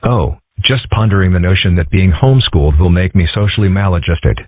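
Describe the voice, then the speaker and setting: Man voicing a low, husky deadpan cartoon boy. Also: fairly quick, dry recording. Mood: deadpan, pondering. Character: Ozy, mild, deadpan, bookish homeschooled boy cartoon character. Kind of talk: comic strip dub. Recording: muffled phone-like lo-fi recording.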